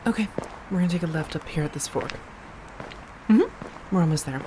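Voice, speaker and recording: Low, soft-spoken vampire roommate played by a woman. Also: normal speed, background noise, close to an everyday voice. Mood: teasing, enthusiastic. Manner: gentle, chatty, teasing, with soft murmurs and breathy asides. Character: affectionate, playful female vampire roommate chatting with her companion. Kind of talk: soft-spoken ASMR roleplay.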